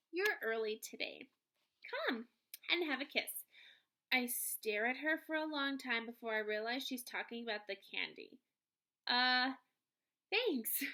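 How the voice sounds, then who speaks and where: A woman narrating a children's novel aloud in an expressive storytelling style. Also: sits high.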